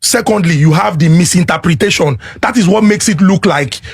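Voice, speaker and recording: Nigerian man, Lagos-raised panelist, calm reasoned delivery, higher husky voice. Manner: deliberate, explanatory, repeats to hold the floor. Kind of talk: measured debate.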